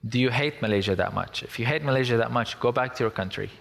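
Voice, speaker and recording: A man's voice: mid-range voice, fast, creaky, a bit bright. A young man from Syria giving an earnest, emphatic public talk. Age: young adult. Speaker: speaker. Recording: long hall reverb.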